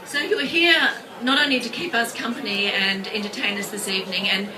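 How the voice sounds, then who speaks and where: A woman's voice: medium pitch, fairly even intonation, a little fast. A woman hosting a live restaurant event, chatty and lightly laughing, with filler ums. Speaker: event host. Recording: distant mic, crowd noise.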